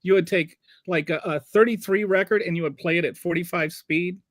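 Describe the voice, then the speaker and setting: A man hosting a casual podcast interview, chatty and rambling with halting restarts. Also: high voice, no echo, clean studio sound. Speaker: podcast host.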